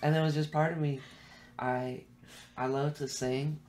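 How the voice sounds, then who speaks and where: A man's voice: on the high side, a little slow. A man from the Bay Area with a Californian accent, telling stories casually and in slang as a podcast guest, acting out bits of dialogue as he goes. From San Francisco Bay Area, California, US. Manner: slangy, animated storytelling with acted-out quotes. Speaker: musician interviewed as guest.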